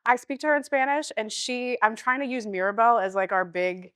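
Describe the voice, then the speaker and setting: A woman in her thirties from the Southeast US, chatting in an interview and acting out quotes with big jumps in pitch. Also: steady tone, fairly quick, a bit bright, dry recording, clean studio sound. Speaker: guest actor. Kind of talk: casual interview chat.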